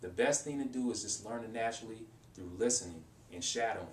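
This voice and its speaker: A man's voice: quick pace, far from the mic. A young man giving casual, rambling advice in a language-learning vlog, with many restarts and fillers. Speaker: language-learning video maker. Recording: roomy echo, off-mic, faint rumble.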